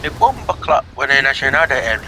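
A man's voice: medium pitch, sing-song, fast talker, background noise. A man teasing his friends with crude banter in casual voice chat while gaming. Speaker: gamer. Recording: game music behind voice chat.

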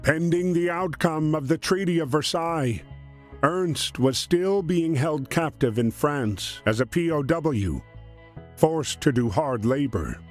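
Slow, solemn male narrator over music. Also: on the high side, lively intonation. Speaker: narrator of a war story game. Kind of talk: scripted narration. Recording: music behind the voice.

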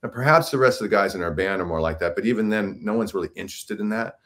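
Deep bass-voiced man, a musician. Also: fast, fairly bright tone. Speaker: musician, singer, interview guest. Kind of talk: casual explanatory interview chat. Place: indoor room, video call.

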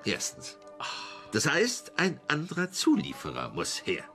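An elderly, smug gentleman schemer in a crime radio play. His delivery is dry and theatrical, slow and bright, with very dramatic rises and falls. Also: mid-range voice, huge pitch swings, creaky, faint music behind, exaggerated voice. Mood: businesslike scheming. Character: elderly male English gentleman schemer, whisky-loving, smug, brisk.

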